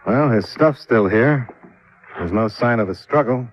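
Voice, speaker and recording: An American actor playing a dry, clipped, world-weary insurance investigator who narrates in old-time radio drama style. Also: male voice, medium pitch, animated, a little slow, natural voice. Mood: wry, guarded. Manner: dry, clipped, hard-boiled. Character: world-weary, wry freelance insurance investigator, adult man. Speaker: lead actor and narrator of a radio detective series. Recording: dull vintage radio recording, background music.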